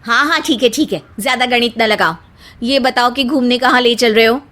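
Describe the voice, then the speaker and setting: A woman's voice: a little high, moderate pace, fairly bright tone, close to an everyday voice. A woman voicing an envious, demanding young wife in a family story, insistent and exclamatory. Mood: impatient, nagging. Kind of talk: dramatised Hindi family storytelling.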